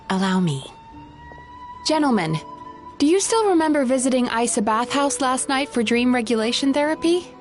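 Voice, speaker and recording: An actress playing a composed woman who enters dreams, explaining in a calm, measured way. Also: high-pitched, faint music behind.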